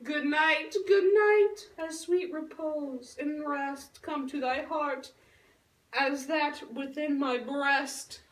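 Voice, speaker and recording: A woman playing a lovestruck, earnest young noble girl in a puppet show, speaking Shakespearean verse in a theatrical, drawn-out way. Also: high voice, steady tone. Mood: anxious, tender. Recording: roomy echo, distant microphone.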